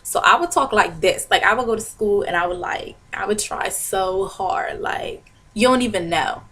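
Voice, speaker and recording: A woman's voice: mid-range voice, moderate pace, pushed and bright, husky. A young woman from Maryland, telling a dramatic, self-mocking comic rant to her webcam in a small bedroom. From Maryland, USA. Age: young adult. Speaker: vlogger. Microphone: webcam at desk.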